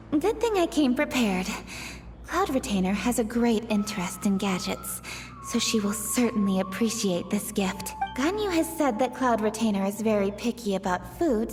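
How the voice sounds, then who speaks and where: A woman voicing a self-assured young female official in a game, brisk and confident. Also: a little high, a little mellow, close to an everyday voice. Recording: reverberant, with game music.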